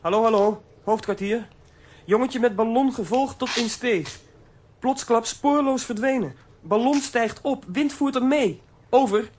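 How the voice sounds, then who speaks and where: A woman's voice: a little high, measured pace, a little mellow, a little hiss or hum, lightly acted. A woman playing a plucky, determined boy hero in a children's audio drama, earnest and eager. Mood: urgent.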